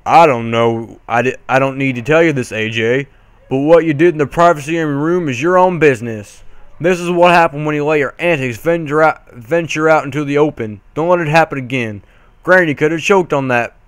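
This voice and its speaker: A man's voice: on the high side, fairly quick, clean tone, no room sound, close to an everyday voice. A man voicing a stoic big brother farm pony, grave and lecturing, in a cartoon story. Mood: stern.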